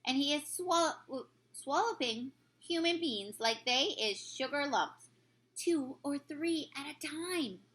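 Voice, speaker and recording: A woman reader plays a big friendly giant from a children's storybook. He is gentle and a little nervous, with mangled grammar and folksy made-up words. The voice is high and bright. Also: animated, slow, exaggerated voice, rough recording. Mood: hushed, wary.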